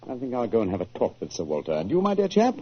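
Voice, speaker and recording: An actor playing a composed, precise, commanding British gentleman detective in 1940s radio style. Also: medium pitch, wildly swooping pitch, fast, a little hiss or hum. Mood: considerate. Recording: muffled old-time radio recording.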